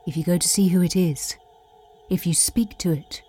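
Actress as a low-voiced, wry hotelier. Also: female voice, normal speed, over music, close to an everyday voice. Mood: wry, eerie. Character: wry woman running a remote lighthouse hotel. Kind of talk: audio drama storytelling and dialogue.

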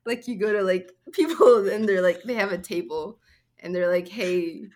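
Woman, young adult, podcast co-host, giggly, rambling, playful, casual, middle pitch. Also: normal speed, darkish tone. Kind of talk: casual chat.

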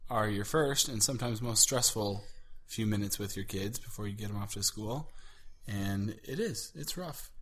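This is a man co-hosting a podcast in an easy, conversational way. His voice is husky with a breathy edge. He slips in dry, teasing one-liners between longer stretches of plain talk. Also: medium pitch. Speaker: podcast co-host. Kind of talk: casual chat.

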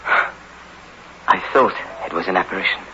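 An actor playing a haunted, insistent wounded convict, halting and earnest, in a 1960s radio drama. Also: male voice, low voice. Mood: pleading, insistent. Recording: dull, hissy old radio recording.

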